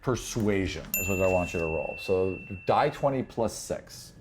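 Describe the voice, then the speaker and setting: A man narrating a tabletop fantasy game as game master, improvising in an animated way and repeating phrases for emphasis. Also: deep voice, normal speed, heavy background noise.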